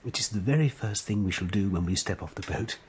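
An actor playing a gentle, loyal, bookish friend at a sickbed, speaking softly and soothingly in a radio drama. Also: male voice, lowish voice, a little hiss or hum, close to an everyday voice. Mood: wry, fond. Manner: soft, soothing, measured bedside delivery.

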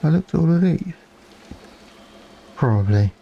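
Slow, mellow man musing over a game. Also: a little high, sing-song, gravelly, dull audio, slight background noise. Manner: musing aloud, wry. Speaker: let's play gamer. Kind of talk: casual game commentary.

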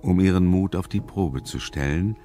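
A man's voice: low voice, normal speed, warm tone. A man narrating a German suspense radio play in a scripted, storytelling style. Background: music.

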